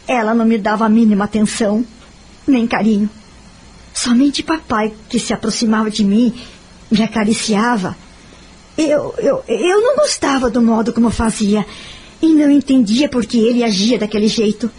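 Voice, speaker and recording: A woman's voice: on the high side, expressive, normal speed, darkish tone, husky. A woman with a Brazilian accent acting a wounded young woman who confides her past in an audio novel. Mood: sad, reflective. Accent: Brazilian Portuguese. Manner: sorrowful, confiding.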